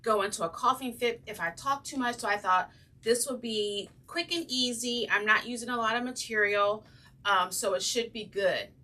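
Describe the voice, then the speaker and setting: A woman with a slightly raspy voice hosting a casual crafting livestream, chatting with viewers and saying um often. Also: on the high side, moderate pace, sharp tone, recorded from a distance. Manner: chatty, greets viewers by name, frequent ums. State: slightly raspy from breathing trouble. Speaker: craft channel host.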